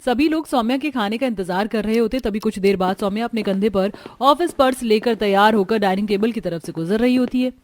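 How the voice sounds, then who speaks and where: A woman's voice: fairly high pitch, a little fast, hardly any pauses, darkish tone. A woman narrator reading a scripted cartoon family story. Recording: music behind the voice.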